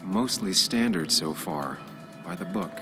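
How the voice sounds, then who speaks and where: Deep-voiced, brooding investigator. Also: male voice, animated, measured pace, gravelly, full character voice. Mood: musing, suspicious. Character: brooding male investigative journalist, guarded and analytical. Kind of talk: video game voice acting with muttered inner monologue. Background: music.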